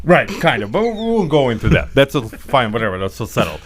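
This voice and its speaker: A man co-hosting a podcast, rambling through stories in easygoing chat. His pitch swings widely as he talks, and he breaks into laughter often. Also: big pitch swings, brisk. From Ukraine. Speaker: podcast co-host. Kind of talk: casual chat.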